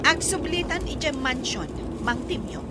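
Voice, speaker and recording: Imperious rich madam played by a woman, very high lively voice, scolding, radio comedy, noisy background. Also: a little slow. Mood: demanding. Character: imperious, demanding rich madam ordering her driver about. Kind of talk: Ilocano radio comedy drama.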